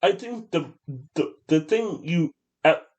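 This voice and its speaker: A man with a voice a bit higher than average speaks as a podcast co-host. He is hesitant in casual film discussion, often circling back to repeat a phrase before moving on. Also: takes it slowly, darkish tone.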